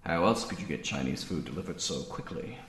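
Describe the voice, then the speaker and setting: A folksy hero of a pulp space serial, played by a man talks in an easygoing, colloquial way. His voice sits a little low and rings with room echo. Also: animated, brisk, fairly bright tone. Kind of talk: pulp sci-fi radio serial acting.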